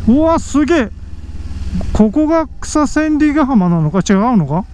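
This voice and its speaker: Very high-voiced man, motorcycle vlogger. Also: big pitch swings, a little slow, dark tone. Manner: exclamatory, easily amazed, talking to himself. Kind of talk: casual riding commentary. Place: outdoors on a moving motorcycle. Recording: faint road and engine noise. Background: vehicle and outdoor road noise.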